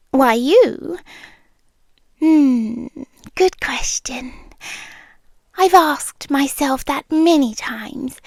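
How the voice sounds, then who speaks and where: A British woman playing an amused, possessive immortal monster queen in an intimate, hushed ASMR roleplay, teasing and often slipping into whispers. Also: sits high, no room sound, heavily acted. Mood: amused, patronising.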